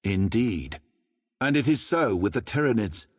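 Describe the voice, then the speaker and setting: A man's voice: lowish voice, sing-song, a little slow, no room sound, studio quality. An actor playing a haughty, stern inquisitor in a video game, formal and accusing in his delivery. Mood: scornful, stern. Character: stern, scornful male inquisitor. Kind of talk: video game cutscene acting.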